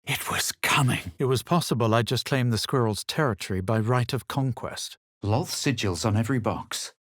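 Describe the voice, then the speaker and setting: An actor playing a haughty, brooding young adventurer in a fantasy video game, speaking in a measured, dry, superior way. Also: male voice, mid-range voice, a bit bright, dry recording, natural voice. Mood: brooding, grave. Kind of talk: video game voice acting, dramatic narration and remarks.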